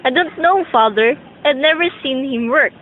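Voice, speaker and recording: A woman's voice: high voice, normal speed, lightly acted. A young woman acting a rich man's respectful, earnest son in an amateur student audio drama. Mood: dutiful. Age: young adult. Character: grown sons of a rich man, respectful and pleading. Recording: muffled amateur recording with music.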